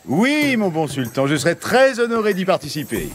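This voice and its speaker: An actor playing a condescending, obsequious palace courtier in a French children's cartoon. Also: male voice, high voice, sing-song, normal speed, soft background music.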